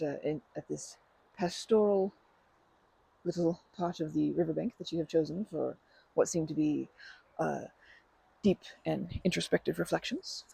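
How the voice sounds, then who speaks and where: A woman doing an ASMR roleplay as a polite stranger, talking softly with hesitant, rambling chatter and many restarts, sometimes dropping to a whisper. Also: sits low, vocal fry, husky, dry recording, rough recording. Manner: soft-spoken, drops to whispers.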